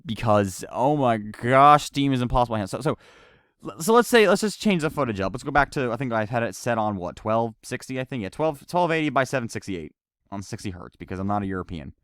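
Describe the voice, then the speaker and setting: A man from the United States reviewing a video game in casual, rambling, halting, sweary unscripted commentary. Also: mid-range voice, animated, fairly bright tone, clean tone, dry recording, clean studio sound. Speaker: gaming reviewer. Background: game music.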